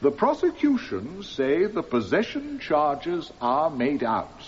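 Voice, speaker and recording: Solemn courtroom judge in a radio play, high pitched for a man, muffled hissy recording. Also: huge pitch swings, exaggerated voice. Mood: solemn, measured. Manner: measured, formal, authoritative. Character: solemn male judge summing up to a jury. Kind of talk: radio drama courtroom speech.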